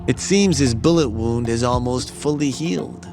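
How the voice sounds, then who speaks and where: An actor playing a sly, knowing journalist informant in a video game, sardonic under surface politeness. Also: male voice, mid-range voice, normal speed, vocal fry, natural voice. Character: sly, smooth-talking male journalist informant, casually knowing. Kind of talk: video game cutscene dialogue. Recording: music behind the voice.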